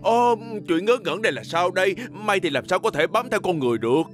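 A man's voice: high voice, animated, fast, faint music behind. A man playing a fussy, bumbling middle-aged scientist in a cartoon dub, effusive and exaggerated. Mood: sceptical, bold. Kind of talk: dubbed children's cartoon acting.

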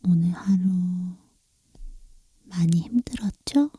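A woman playing a tender, doting girlfriend who soothes her lover to sleep in a soft, breathy whisper for an ASMR roleplay. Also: lowish voice, exaggerated voice. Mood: comforting. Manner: slow, gentle, affectionate cooing. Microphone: close-up microphone, dry.

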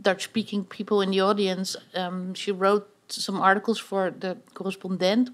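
A woman critic joins a live panel and speaks thoughtfully, building her points as she goes. Her voice sits at a middle pitch.

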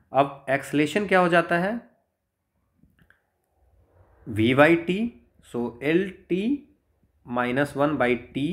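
A man with an Indian accent working step by step through exam problems as a physics tutor, explaining and often checking in. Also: medium pitch. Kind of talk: step-by-step exam solution walkthrough.

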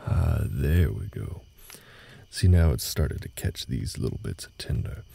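Gruff, reserved cowboy played by a man for intimate audio drama: extremely low, slow and folksy, patient with newcomers. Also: animated, warm tone, slight background noise, exaggerated voice. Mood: hushed, patient. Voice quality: gravelly, husky low voice. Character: gruff, guarded cowboy ranch hand patiently teaching a newcomer. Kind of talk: intimate scripted audio roleplay, slow speech to the listener.

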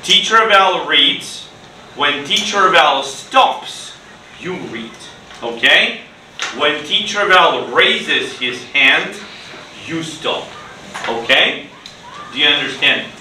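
Middle-aged male teacher, slow and echoey. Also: on the high side, expressive, bright, forceful tone. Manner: instructive, short prompts and repeated phrases for learners to echo. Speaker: English teacher. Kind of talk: classroom ESL lesson, questioning students. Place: classroom, standing at the board. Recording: echoey noisy classroom, distant mic.

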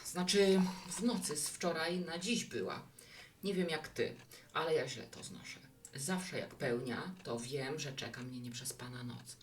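A woman's voice: deep voice, a little slow, recorded from a distance. An actress playing a chatty, romantic, hospitable woman who runs a bar in an audio drama. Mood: weary complaining. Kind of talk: Polish audio drama acting.

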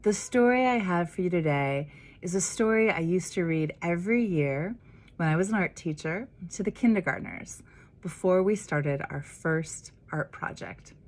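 A middle-aged American woman reading a picture book aloud in a deliberate, expressive way. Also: fairly low pitch. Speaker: minister of religious education, storyteller.

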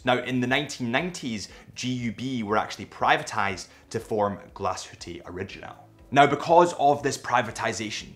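A young man with a Scottish accent, enthusiastically presenting a watch factory visit from a small indoor studio set. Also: medium pitch. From Scotland. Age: young adult. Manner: animated, gestures with his hands. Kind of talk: enthusiastic watch vlog narration.

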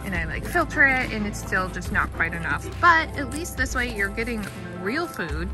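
A woman's voice: medium pitch, sing-song, normal speed, music in the background. A woman in her thirties chatting casually in an upbeat way while vlogging outdoors on a homestead, filmed on a handheld phone. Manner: chatty, upbeat, laughs often. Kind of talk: casual vlog chat. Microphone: handheld phone camera.

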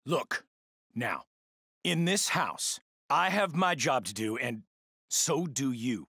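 A middle-aged American military stepfather in a game. He lectures sternly in a husky, gruff voice that swings widely in pitch. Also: animated, slow, pushed and bright, dry recording, clean studio sound, heavily acted. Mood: controlled, firm. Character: stern, gruff ex-army stepfather with wounded authority. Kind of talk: video game voice acting.

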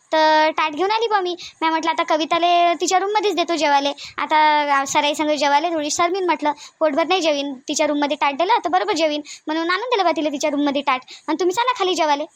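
Woman doing a high voiced village family lady for a cartoon, vidarbha marathi accent, talks fast, expressive and homely. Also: no echo. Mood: caring, chatty. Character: women of a village family: shy bride, chatty sisters-in-law, scolding aunt. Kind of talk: homemade cartoon family drama voice acting.

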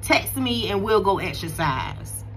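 A woman's voice: mid-range voice, expressive, a little slow, a little hiss or hum. A woman in her thirties giving casual devotional encouragement to her viewers from home, talking earnestly in a preachy, conversational way full of restarts. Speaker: faith vlogger. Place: bright room at home, indoors. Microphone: phone camera at close range.

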